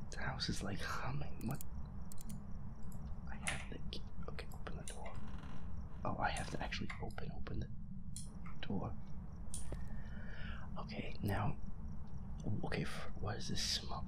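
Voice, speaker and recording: Feisty, reluctant werewolf boyfriend played by a man for audio roleplay: heavy vocal fry, breathy near-whispers, muttered swearing over a horror game. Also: medium pitch, full character voice. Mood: nervous, dread. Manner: grumbling, sweary, muttering to himself. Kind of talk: casual gameplay commentary, audio roleplay.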